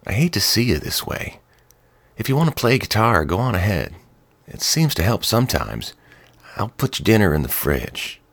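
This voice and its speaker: A man's voice: on the low side, sing-song, moderate pace, creaky, slightly rough, dry recording, voice pushed up, exaggerated voice. A man putting on a nervous, eager woman. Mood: pleading. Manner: soft, anxious, pleading. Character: nervous, eager-to-please woman in her mid-thirties. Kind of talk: audiobook character voice.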